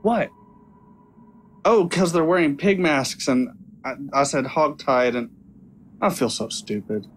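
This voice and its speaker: An actor playing a wry young stage magician in an audio drama, talking in a sarcastic, conversational way. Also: male voice, on the high side, slow pace, pauses often, a little hiss or hum. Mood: sheepish.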